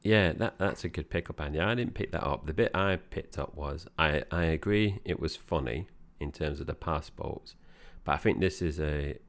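Brief: male podcast co-host, very low gravelly voice, casual rambling film chat. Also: normal speed, few pauses. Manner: chatty, meandering with fillers and restarts.

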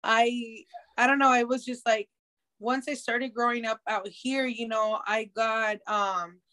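A woman's voice: fairly high pitch, steady tone, a little slow, bright, forceful tone, rough recording. Laid-back woman, laughing and cursing. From San Diego, USA. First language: Spanish. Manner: laid-back, laughs often, swears casually, trails off. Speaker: rapper guest. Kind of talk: casual podcast interview.

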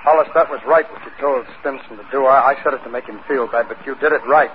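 An actor playing a plain-spoken, halting spaceman facing death in a 1950s radio drama. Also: medium pitch, lightly acted. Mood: ashamed, urgent. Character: gruff dying spaceman softened by shame. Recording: muffled, hissy 1950s radio recording.

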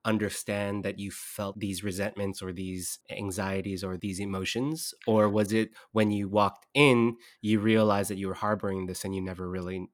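A man co-hosting a podcast, listening closely and asking thoughtful follow-up questions in a relaxed conversational way. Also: fairly low pitch, normal speed, hardly any pauses, dry recording, studio quality. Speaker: podcast co-host. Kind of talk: casual interviewing conversation.

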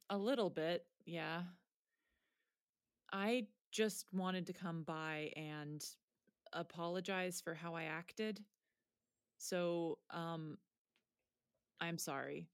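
Actress as an anxious, slow-spoken young woman. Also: lowish voice, smooth, dry recording, studio quality. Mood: subdued. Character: anxious, self-doubting young woman under strain. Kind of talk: scripted audio drama acting.

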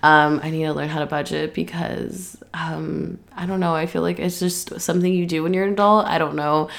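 A woman's voice: low voice, fairly even intonation, a little fast, creaky. A young woman, slightly nasal and stuffy from allergies, talking through her plans on a solo podcast, rambling with lots of "um" and "like". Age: 20s. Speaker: podcast host. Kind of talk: casual solo podcast chat.

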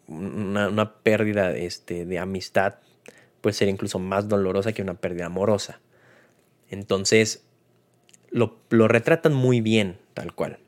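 A man with a Mexican accent gives a casual, unscripted film review in a conversational, colloquial way, like a host talking to listeners. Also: on the low side. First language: Spanish. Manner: conversational, colloquial, retells scenes with acted-out quotes.

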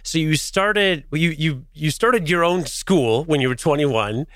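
A man's voice: a little high, unhurried, a bit bright, dry recording, studio quality. A man hosting a podcast, reading a polished intro and asking interview questions. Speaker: podcast host.

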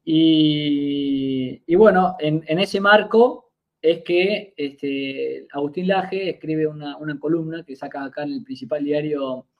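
A young Argentine man in his twenties with a voice pitched a little high for a man. He talks as a podcast guest, telling a long story casually. He stops and restarts often, leaning on fillers as he gathers his thoughts. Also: takes it slowly, highs cut off. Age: 20s. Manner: hesitant, many fillers and restarts while recounting.

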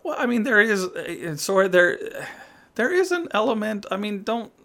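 A man who is a guest on a podcast, telling stories in a rambling, halting way. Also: high voice, very animated, gravelly, fairly bright tone. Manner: rambling storyteller, halting restarts. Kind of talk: casual podcast chat.

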